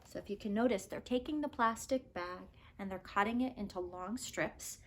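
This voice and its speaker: A woman's voice: mid-range voice, moderate pace. A woman teacher reading a children's story aloud expressively, with careful, dramatic phrasing and emphasis.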